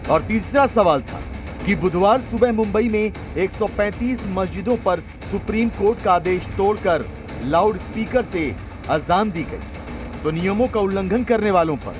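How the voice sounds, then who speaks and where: A man's voice: high-pitched, expressive, moderate pace. A man reading a scripted news report as voice-over, with an emphatic, dramatic delivery. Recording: voice-over with music bed.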